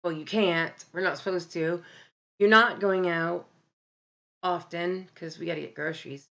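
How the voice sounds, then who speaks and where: A woman with a deep voice hosting a casual live stream, talking candidly and bluntly with many ums and self-corrections. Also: steady tone, measured pace, gravelly, pushed and bright, lo-fi sound. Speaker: livestream host. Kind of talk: casual live-stream talk about mental health.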